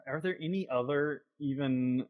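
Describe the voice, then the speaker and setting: Medium-pitched man in tentative podcast chat. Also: normal speed, phone-quality sound.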